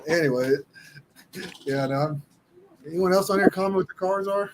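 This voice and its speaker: A man's voice: high voice, moderate pace, background noise. A man in his thirties chatting casually on a livestream from home over a laptop, rambling with many restarts. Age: 30s. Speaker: livestream host. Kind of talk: casual chat.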